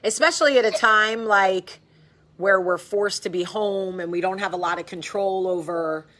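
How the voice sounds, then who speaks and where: A woman's voice: mid-range voice, normal speed, a bit bright. A middle-aged woman hosting a casual live video chat, candid and chatty, with the odd casual swear. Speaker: host.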